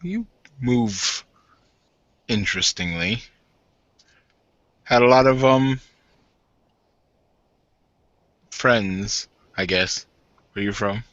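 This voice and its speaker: A reserved, awkward husband, acted by a man in a tabletop game. He speaks haltingly and guardedly in a bright, clear-cut tone. The recording is dull and close, with no room. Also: fairly low pitch, lively intonation, dry recording. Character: reserved, awkward gym-owning bridegroom. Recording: dull muffled lo-fi audio.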